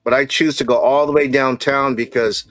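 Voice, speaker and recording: Chatty stream host, mid-pitched and dry. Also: male voice, fairly even intonation, normal speed, fairly bright tone, no echo. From San Diego area. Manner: friendly, loose, chatty with fillers. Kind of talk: casual live-stream interview.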